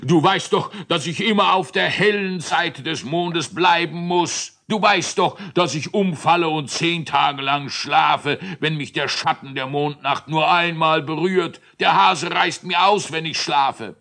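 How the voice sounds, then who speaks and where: A loutish moon giant in a children's story, panting and grumbling, as a man puts him on. His voice is raised and gravelly, with a bright, strained edge. He speaks slowly, as if he is worn out. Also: fairly high pitch, voice pushed up, exaggerated voice. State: out of breath. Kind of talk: storybook reading.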